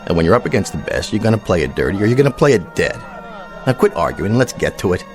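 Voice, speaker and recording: An actor with an American accent playing a vain, petulant, bullying cowboy star in a radio drama. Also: male voice, deep voice, sing-song, quick pace, darkish tone. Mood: bullying, scornful. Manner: whiny, bullying, self-important. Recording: faint music behind the voice.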